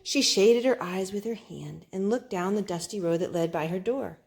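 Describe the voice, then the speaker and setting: A woman reading a Bible story aloud to children, with expressive, deliberate phrasing and drawn-out words. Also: medium pitch, vocal fry.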